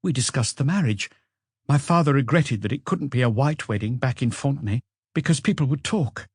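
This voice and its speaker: Man voicing an anxious young woman, lifted pitch. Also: expressive, fairly quick, slightly rough, dry recording, clean studio sound. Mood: earnest. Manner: hesitant, evasive, soft-spoken. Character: anxious, guarded young woman questioned by police.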